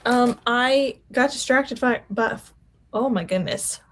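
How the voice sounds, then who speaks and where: A woman with a slightly high voice answers in an easygoing, rambling way. She is a writer speaking during a casual video-call chat. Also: slow pace. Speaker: co-host and writer.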